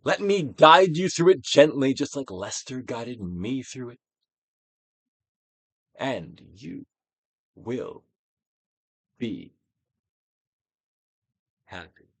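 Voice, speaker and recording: A man gives a dramatic, stage-trained reading of a self-help book, emphatic, with drawn-out phrases that swell and drop to a hush. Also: mid-range voice, animated, no echo. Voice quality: trained stage-actor delivery. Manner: emphatic, drawn-out phrases with big dynamic swells and hushed drops. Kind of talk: theatrical dramatic audiobook reading.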